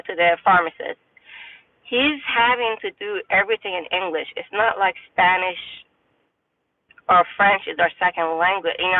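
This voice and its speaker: A middle-aged woman talks through a casual opinion monologue on a livestream, pausing and restarting often as she works out her point. Her voice comes through thin and phone-like, with the narrow band of a cheap line. There is almost no room sound, so it stays close and dry. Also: lowish voice, no echo. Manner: rambling, halting, opinionated, many fillers. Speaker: livestream host. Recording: tinny phone-like dry audio.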